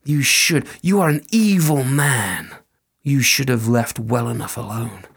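A man's voice: mid-range voice, a little slow, creaky, a bit bright, husky, full character voice. A man voicing a wrathful fairy woman. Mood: stern, wrathful. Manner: stern, pronouncing curses.

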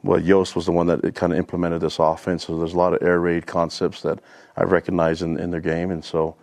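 A man's voice: low voice, monotone, a little fast, creaky, a little mellow, dry recording. A man speaking as a football coach, giving casual, matter-of-fact interview answers.

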